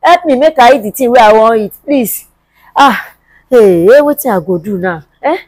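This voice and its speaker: A woman's voice: fairly high pitch, expressive, a little mellow, no room sound. A woman acting a hungry, long-suffering mother in a film drama, pleading repetitively and plaintively with a Nigerian accent. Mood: desperate begging. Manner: plaintive, repetitive begging with drawn-out wails.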